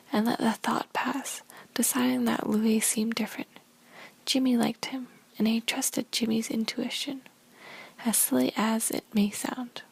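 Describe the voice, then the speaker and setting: A woman reading a first-person story aloud in a soft, unvoiced whisper. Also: on the high side, gravelly, husky. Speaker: story reader.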